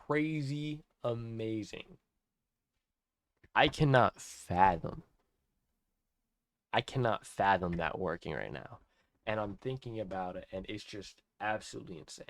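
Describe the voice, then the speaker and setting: A man's voice: lowish voice, expressive, unhurried, long pauses, no echo. Awed teenage guy ranting, dry sound. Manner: awed, repeats himself, restarts often. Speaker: vlogger. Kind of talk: excited personal rant.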